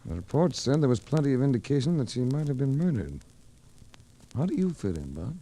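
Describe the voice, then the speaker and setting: An actor playing a wry private investigator who narrates his case in dry, measured 1940s radio drama style. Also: mid-range voice, sing-song, warm tone. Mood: calm, probing. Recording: noisy vintage 1940s radio recording.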